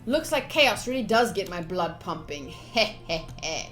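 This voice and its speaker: Expressive woman streamer reading over music. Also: on the low side, a little slow. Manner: expressive, playful, theatrical reading. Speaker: game streamer. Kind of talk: dramatic read-aloud of visual novel with casual commentary. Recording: game music behind.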